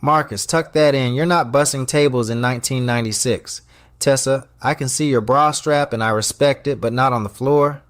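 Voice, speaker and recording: Audio story restaurant boss, male performer: fussy, commanding, snappy delivery, mid-pitched, very dry close sound. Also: moderate pace, dry recording, close to an everyday voice. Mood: bossy, exasperated. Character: fussy, bossy male restaurant manager, sharp-tongued. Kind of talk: audio story character dialogue.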